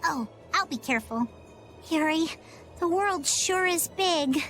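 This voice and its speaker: A woman's voice: very high voice, animated, a little slow, a bit bright, exaggerated voice. A woman playing an earnest, innocent youngster in a fantasy video game cutscene. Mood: earnest, wistful. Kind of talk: English game cutscene voice acting. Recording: music behind the voice.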